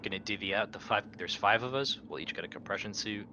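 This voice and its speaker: A man's voice: fairly low pitch, quick pace. A man playing a captain in a tabletop roleplaying game, chatting casually with a dry joking streak. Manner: joking, sarcastic, swearing. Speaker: player as ship's captain. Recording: clear background noise.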